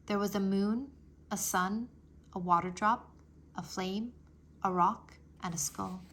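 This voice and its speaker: A woman narrating a children's adventure book aloud with expressive, dramatic phrasing. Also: mid-range voice, slow pace, slight background noise. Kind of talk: children's storybook read-aloud.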